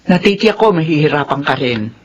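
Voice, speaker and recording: A woman playing a loving, worried middle-aged mother who gently scolds, with a Filipino accent, in radio drama style. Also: sits low, a little fast, husky, dull audio. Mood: sorrowful. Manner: fond scolding, animated.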